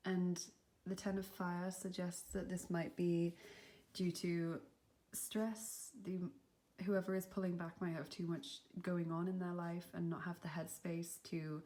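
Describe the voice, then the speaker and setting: A young woman with a cold and a slightly sniffly voice, giving a gentle, reassuring tarot reading with some softly whispered asides. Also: on the low side. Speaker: tarot reader. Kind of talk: pick-a-card tarot reading.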